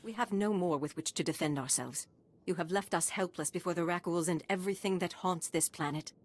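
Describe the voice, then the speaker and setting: Woman acting a proud, low-voiced alien refugee leader. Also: brisk, close to an everyday voice. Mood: pleading, solemn. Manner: formal, solemn, impassioned pleading. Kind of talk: scripted video game dialogue.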